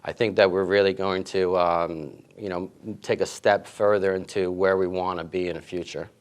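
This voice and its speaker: Casting note: middle-aged male coach, deep clear voice, easygoing answers full of ums. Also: moderate pace. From grew up in Italy and Queens, New York. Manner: relaxed, with many fillers and restarts. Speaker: head tennis coach. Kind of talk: casual interview answers.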